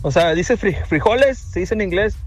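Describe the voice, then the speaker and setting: A man's voice: high-pitched, animated, normal speed, faint music behind. A man leaving a joking voice message for a radio show. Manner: joking, laughing as he explains. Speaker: listener sending a voice message.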